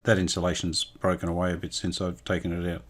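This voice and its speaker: Low-voiced man giving casual workbench commentary. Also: monotone, quick pace. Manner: understated, unscripted, matter-of-fact. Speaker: restorer presenting a hands-on vintage radio repair.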